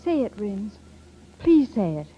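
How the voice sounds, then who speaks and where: An actress playing an earnest, wistful young office secretary in love, in 1930s radio style. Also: female voice, medium pitch, very animated, measured pace. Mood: wistful, tender. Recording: muffled, noisy 1930s radio recording.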